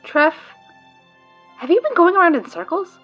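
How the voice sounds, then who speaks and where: A woman's voice: very high voice, very animated, moderate pace, lo-fi sound, faint music behind. A woman voicing a sarcastic, exasperated friend in an audio drama, prone to ranting. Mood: deadpan exasperated.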